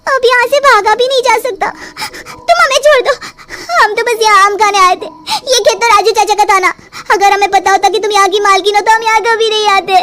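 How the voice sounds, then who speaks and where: Woman doing an excitable, very high-pitched village child. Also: a little fast, a little hiss or hum. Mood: frightened. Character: mischievous village children, bold or scared, in a cartoon. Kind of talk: animated horror fairy-tale acting.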